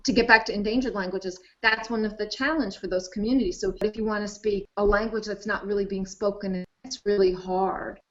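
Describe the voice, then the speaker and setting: A woman's voice: mid-range voice, steady tone, fairly quick, few pauses, fairly bright tone. Woman professor on a dull video call. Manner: thoughtful, explanatory. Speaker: linguistics professor. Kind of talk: interview answers.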